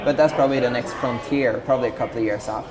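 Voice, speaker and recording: A man's voice: medium pitch, fairly even intonation, very fast, a little mellow, some chatter behind. A man from Sweden with a Swedish accent, a company founder talking about his business in an upbeat, enthusiastic interview.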